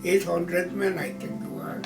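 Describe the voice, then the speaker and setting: Very old man reminiscing slowly. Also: sits high, huge pitch swings, creaky, over music. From Belize. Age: 100s. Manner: slow, reminiscing, gentle. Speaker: interviewee. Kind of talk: recalling memories in an interview. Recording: roomy, from a distance.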